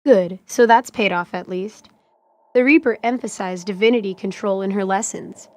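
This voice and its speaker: A woman's voice: medium pitch, normal speed, darkish tone. A woman reading scripted dramatic narration as the narrator of an audio drama.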